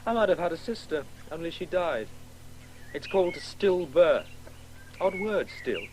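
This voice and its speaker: A man's voice: a little high, big pitch swings, measured pace, a little mellow. A male actor playing a precocious, morbidly curious boy in a 1980s radio drama, musing pedantically and matter-of-factly. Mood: musing, detached. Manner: matter-of-fact, pedantic musing about words. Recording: faintly noisy old radio broadcast.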